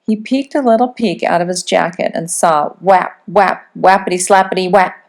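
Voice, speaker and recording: A woman reading a storybook aloud as a teacher would, in expressive, dramatic children's narration. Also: lowish voice, moderate pace.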